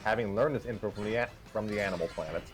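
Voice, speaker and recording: Lowish-voiced man reading haltingly. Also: faint music behind. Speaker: host and reader of the story. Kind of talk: casual story reading with asides.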